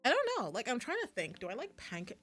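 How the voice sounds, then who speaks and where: A woman's voice: a little high, huge pitch swings, fast talker, a bit bright, slightly rough. A woman livestreamer chatting casually while playing visual novels, rambling and gushing and often interrupting herself. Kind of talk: casual chatty stream commentary. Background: background music.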